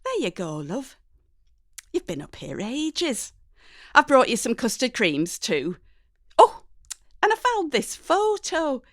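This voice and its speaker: A woman's voice: high voice, wildly swooping pitch, slow, pauses often, dry recording, studio quality, voice pushed up, full character voice. A woman playing a colloquial, defensive mother of a teenager in an audio story. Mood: gentle, chatty. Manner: shouting, scolding, colloquial.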